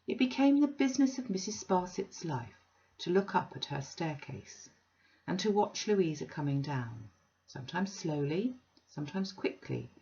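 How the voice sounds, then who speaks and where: Female audiobook reader, measured delivery, some vocal fry, muffled recording. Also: sits low, darkish tone. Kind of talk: classic novel audiobook narration. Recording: dull lo-fi recording.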